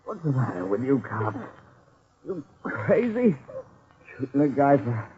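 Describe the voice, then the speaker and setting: An actor playing a shot, dying crook who struggles to get words out, in a 1940s radio crime drama. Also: male voice, mid-range voice, sing-song, unhurried. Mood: bitter, failing. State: dying, laboring to speak. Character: dying male crook, shot, bitterly protesting. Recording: muffled, phone-like old radio recording.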